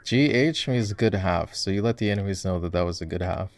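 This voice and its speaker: A man with a low voice and heavy vocal fry, chatting casually as he plays. He is laid-back and teasing, coaching like an encouraging gamer boyfriend in an ASMR roleplay, with keyboard and mouse clicks behind him. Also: brisk, no echo. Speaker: voice actor playing a gamer boyfriend, coaching a game. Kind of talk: casual ASMR roleplay chat while gaming.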